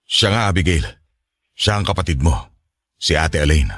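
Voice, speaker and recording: A man with a Filipino accent playing a placating, gentle father in a radio drama, somewhat hesitant in manner. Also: rumbling low, moderate pace, dry recording, studio quality. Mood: gentle, uneasy. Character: returned father, placating, gentle with his young daughter.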